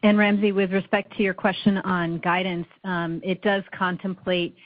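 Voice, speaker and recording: A woman speaking as a finance executive on an earnings call, giving measured, businesslike explanations with short hesitations. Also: mid-range voice, little pitch movement, no room sound. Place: conference phone call. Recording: slightly dull, dry call audio.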